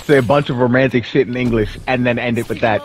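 A man's voice: medium pitch, steady tone, fast talker. A man bantering casually with friends in a foul-mouthed, teasing and playfully bossy way. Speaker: gamer chatting with friends. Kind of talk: casual joking chat.

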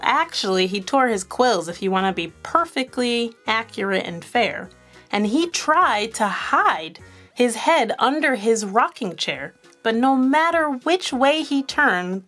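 Female children's storyteller, higher-pitched and unhurried, expressive read-aloud delivery, light music behind. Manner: animated, asks young listeners questions.

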